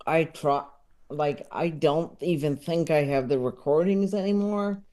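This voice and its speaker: A man chatting casually as a podcast guest, talking in a halting, rambling way. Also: on the high side, a little mellow. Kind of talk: casual interview chat.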